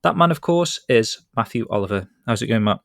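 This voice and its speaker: A man co-hosting a podcast in a relaxed, rambling chat, chuckling now and then. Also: medium pitch, fairly quick, creaky, no room sound, studio quality. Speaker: podcast co-host. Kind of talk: casual chat.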